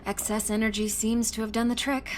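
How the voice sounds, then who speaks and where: A woman playing a composed scientist on a space mission in a video game, speaking with measured precision. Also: fairly high pitch, level tone, slight background noise, close to an everyday voice. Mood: composed, focused. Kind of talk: cinematic video game acting.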